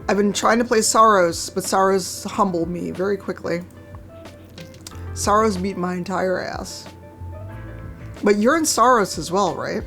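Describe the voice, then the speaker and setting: A woman streaming a game, chatting casually with a hoarse, croaky voice and a wry, chatty manner. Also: medium pitch, measured pace, long pauses, fairly bright tone, faint music behind. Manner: chatty, wry, reads chat aloud. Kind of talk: casual live-stream chat while gaming.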